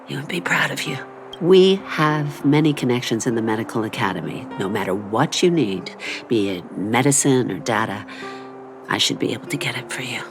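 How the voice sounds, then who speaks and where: A dignified elderly mother for a video game. Her voice is remarkably deep, tender and measured as she reassures, with soft music behind. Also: expressive. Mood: reassuring, proud. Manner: soothing, measured. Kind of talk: video game story voice acting.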